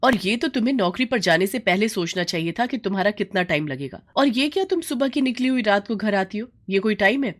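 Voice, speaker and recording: A woman's voice: medium pitch, brisk, hardly any pauses, voice pushed up, lightly acted. A woman voicing a strict middle-aged mother who scolds and commands, in a storybook tale. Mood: stern, scolding.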